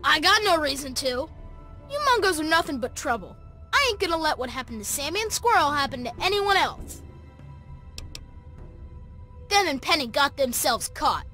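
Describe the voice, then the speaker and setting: Woman playing bossy, high-pitched tough little boy. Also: sharp tone, exaggerated voice. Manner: defiant, streetwise. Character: bossy, suspicious, tough-talking young boy mayor. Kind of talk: video game dialogue.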